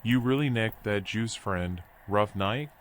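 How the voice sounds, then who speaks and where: A man's voice: on the low side, unhurried, lightly acted. A man voicing a laid-back, casually sympathetic animal stranger in a noir video game, speaking in an offhand way.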